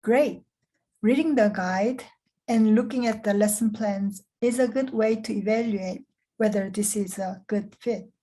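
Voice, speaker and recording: A woman from Korea, a program director, introducing a program and answering questions in a calm, scripted manner. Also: medium pitch, unhurried. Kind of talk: scripted presentation.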